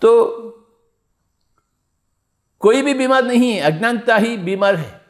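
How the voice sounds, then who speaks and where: A man's voice: extremely high pitch, animated, slow pace. A man teaching meditation in an exhorting spiritual discourse, repeating his phrases and posing rhetorical questions.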